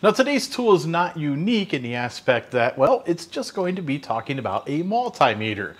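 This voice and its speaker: A man's voice: fairly high pitch, very animated, brisk, breathy edge. A man from the United States with an American accent gives a conversational, explanatory hands-on tool review in a workshop. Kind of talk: hands-on product review and demonstration. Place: home workshop at a workbench.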